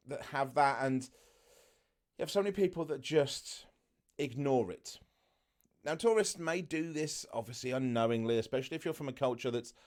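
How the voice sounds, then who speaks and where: Chatty man, naturally loud voice. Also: medium pitch. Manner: chatty, loose, full of fillers and asides. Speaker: travel vlogger. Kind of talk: casual talk to camera.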